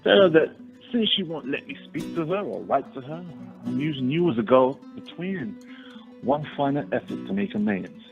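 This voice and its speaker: Tinny audio with faint music carries a man acting a spurned young gentleman in a radio play. He has a medium-pitched, creaky voice and speaks formally, with insistent earnestness. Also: expressive, moderate pace, close to an everyday voice.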